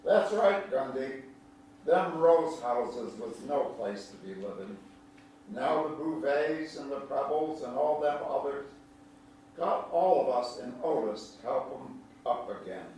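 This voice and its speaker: Man playing slow folksy country old-timer, echoey hall. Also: medium pitch, lively intonation, darkish tone, natural voice. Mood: wise, reflective. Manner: drawling, homespun, playful. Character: folksy older country man, kindly teasing storyteller to boys. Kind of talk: theatrical staged reading. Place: large echoey indoor room at a staged reading. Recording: echoey hall, distant mic, faint noise.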